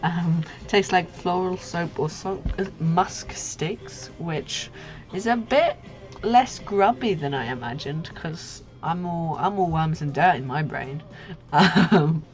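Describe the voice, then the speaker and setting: Low-voiced young woman, giggly and informal. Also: lively intonation, slow. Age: young adult. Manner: giggly, goofy, informal with slang and swearing. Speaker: livestream host, artist. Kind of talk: casual livestream chat. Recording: muffled lo-fi stream audio with music. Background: background music.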